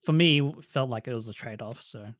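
A man's voice: mid-range voice, moderate pace, dry recording. A young man with an Australian accent, answering interview questions in a casual, reflective way with many fillers.

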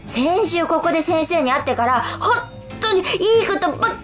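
A woman's voice: expressive, moderate pace, a bit bright. An actress playing an emotional, effusive woman devoted to her fortune-teller in a radio drama. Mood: elated, gushing. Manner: high-strung, effusive. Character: emotional, gushing female fortune-telling devotee. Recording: guitar music clearly behind.

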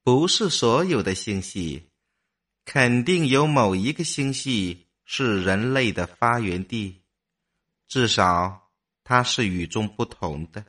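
A man acts an immortal human mind in a sci-fi radio play. The voice is mid-pitched and animated, inquisitive yet matter-of-fact, and sits a bit away from the mic. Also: slow, distant mic. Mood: curious. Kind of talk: audio drama dialogue.

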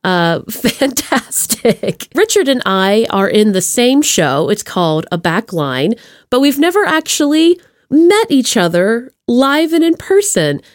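A woman hosts a casual interview podcast with a playful, enthusiastic manner. Her pitch swings in an animated way and she leans on easygoing slang. The recording is dry, with hardly any room sound. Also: slow pace, dry recording. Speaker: podcast host interviewing a guest. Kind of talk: casual upbeat chat. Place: remote video call.

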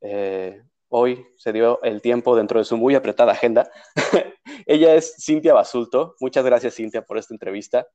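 Male podcast host, young adult, Mexico City accent, middle pitch, chatty and loose with fillers. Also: moderate pace, dark tone, muffled recording. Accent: Mexico City Spanish. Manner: chatty, with many fillers and restarts. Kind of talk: casual interview.

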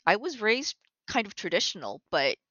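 A woman guest in a conversational interview, talking in an animated way with many restarts. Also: mid-range voice, normal speed, no echo, muffled recording. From Canada. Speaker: concept artist, guest.

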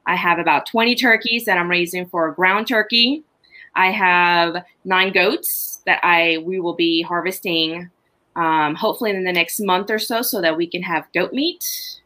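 Middle-aged woman from honduras, chatty farm stories, bright voice pitched a bit low. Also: measured pace. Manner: chatty, animated storytelling with laughs. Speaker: farmer, podcast guest. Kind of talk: casual chat.

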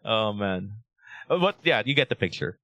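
A man's voice: lowish voice, sing-song, bright, forceful tone, muffled recording. A Filipino man, co-host of a podcast, chatting casually in Tagalog and English with an American Midwestern-leaning accent, often laughing at himself. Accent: Filipino speaker with American-leaning English. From Philippines. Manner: laughing, self-mocking, quick bursts.

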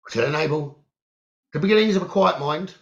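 A man leading a guided meditation, giving gentle instructions in short phrases with long pauses, on a muffled recording. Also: on the high side. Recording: muffled, dull lo-fi audio.

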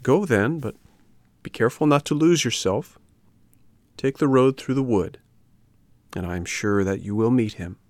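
A man's voice: medium pitch, normal speed, pauses often, a little mellow, dry recording, close to an everyday voice. A man voicing a kindly, reassuring female fairy in a storybook reading. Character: kindly, motherly female fairy, gently cautioning.

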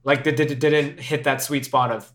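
A man's voice: medium pitch, flat delivery, moderate pace, a bit bright. A young man hosting a casual podcast chat, laughing often and repeating himself as he talks. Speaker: podcast host.

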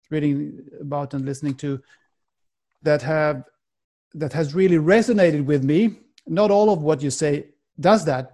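Male host, Swedish first language, dark mellow timbre, thoughtful and halting phrasing. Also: on the high side. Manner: thoughtful, searching for words, with many fillers. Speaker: podcast host. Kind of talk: interview questions.

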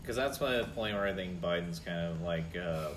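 A man's voice: low voice, normal speed, a loud crowd. A man in casual political banter, talking in a sarcastic, wry way with some swearing.

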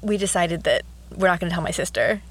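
A young woman with a low voice co-hosting a podcast, chatting casually and rambling with many restarts. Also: expressive, quick pace, a little hiss or hum, low-quality recording. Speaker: podcast co-host. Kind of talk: casual chat.

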